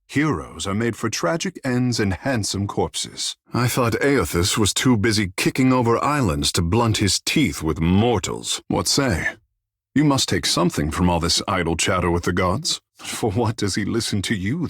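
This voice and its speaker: Husky, theatrical young shark-folk charmer. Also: male voice, fairly low pitch, fairly bright tone, no room sound, clean studio sound, full character voice. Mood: musing. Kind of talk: video game voice acting.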